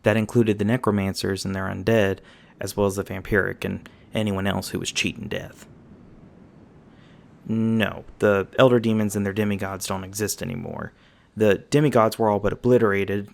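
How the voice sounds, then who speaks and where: A man's voice: lowish voice, creaky. A man playing an easygoing, folksy magi guide, explaining patiently in an ASMR roleplay. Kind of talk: ASMR roleplay, conversational storytelling.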